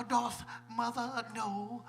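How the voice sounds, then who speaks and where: Very slow cuddly cartoon bear. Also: male voice, extremely high pitch, little pitch movement, gravelly, exaggerated voice. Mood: playful, teasing. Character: cuddly honey-loving cartoon bear playing a cocky superhero. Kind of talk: comic live radio-play acting. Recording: live convention stage, music behind.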